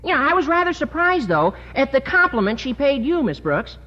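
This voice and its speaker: A man's voice: very high-pitched, very animated, normal speed, pushed and bright, exaggerated voice. A chatty gossipy teenage boy. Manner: chatty, hesitant, says uh a lot. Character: eager, gossipy teenage schoolboy. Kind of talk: 1950s radio sitcom acting. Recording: muffled old radio broadcast.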